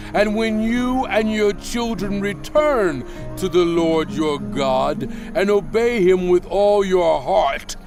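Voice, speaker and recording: A stern, fervent leader of a people in a dramatized scripture reading. His male voice sits high and moves slowly, with declamatory weight and deliberate pauses. Soft music runs beneath his solemn warnings. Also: full character voice. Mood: earnest, exhorting. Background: music bed.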